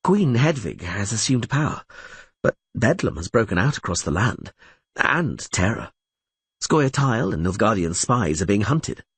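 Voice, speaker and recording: A man voicing a male bard who recounts grim news in an expressive, grave way in an audiobook. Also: fairly low pitch, measured pace, slightly rough, no echo, full character voice. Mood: grave recounting.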